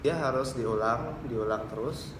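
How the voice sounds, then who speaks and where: A man's voice: mid-range voice, unhurried. A man from Indonesia answering interview questions as a university lecturer, explaining things point by point. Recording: echoey room with clear background noise.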